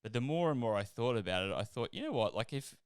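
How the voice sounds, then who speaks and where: A man's voice: mid-range voice, very animated, fast talker, smooth, no echo, studio quality. A man hosting a casual interview, rambling and enthusiastic and laughing often. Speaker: podcast host.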